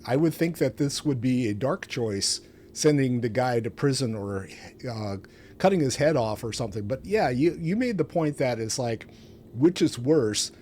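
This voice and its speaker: A man's voice: mid-range voice, expressive, moderate pace, a little mellow. A man hosting a casual game stream, rambling along in a self-deprecating way. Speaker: streamer, show host. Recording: clearly noisy home stream audio.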